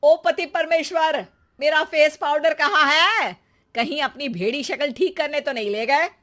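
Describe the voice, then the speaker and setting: A woman plays a vain mother-in-law in a comic family storybook tale. The voice sits high and snaps with haughty indignation. She scolds and huffs, quick to take offence over her looks. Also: fairly bright tone. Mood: indignant.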